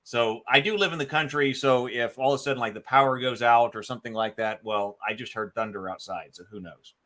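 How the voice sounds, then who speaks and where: A man's voice: medium pitch, fairly quick, bright, forceful tone. A man hosting a solo board game session, talking casually through his moves and dice counts as he thinks aloud. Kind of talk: casual live play-by-play with narrated moves.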